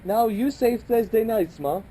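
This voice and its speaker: A woman's voice: fairly high pitch, measured pace, warm tone, a little hiss or hum, close to an everyday voice, rough recording. A woman playing a stammering young groom. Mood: agitated. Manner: stammering, urgent.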